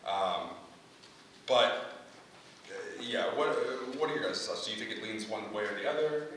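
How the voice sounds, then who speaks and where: A man's voice: lowish voice, expressive, moderate pace, a bit bright. A man leading an informal feedback discussion as the playwright, speaking hesitantly and groping for words. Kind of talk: informal question-and-answer discussion. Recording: very echoey room, distant mic, faint noise.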